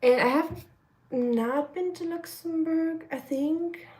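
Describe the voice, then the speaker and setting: A woman's voice: very high-pitched, slow pace, a little mellow. A young woman from Germany, chatting casually and rambling with many fillers while cooking on a live stream, her voice a little nasal. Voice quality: slightly nasal. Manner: chatty, rambling, many fillers, reads chat comments aloud.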